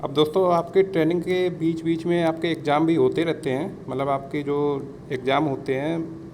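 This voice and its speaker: A man's voice: fairly high pitch, fairly even intonation, normal speed, a little mellow. A man giving an informal explainer lesson as an instructor, repeating himself, addressing listeners as friends and mixing in English words. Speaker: exam-prep instructor. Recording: clear background noise.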